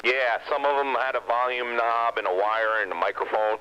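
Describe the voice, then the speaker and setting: A man's voice: mid-range voice, moderate pace. A man hosting a CB radio club check-in, chatting casually with a wry, joking manner about radio gear. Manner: wry, joking, technical tinkerer. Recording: lo-fi with steady hiss.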